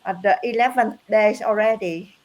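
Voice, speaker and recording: A woman whose first language is Vietnamese tells her story on a live video call. She speaks in simple, halting English with dropped words and pauses to find them. She works as a business coach. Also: mid-range voice, rough recording. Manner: slow and earnest, pausing to find words. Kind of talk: live online testimony.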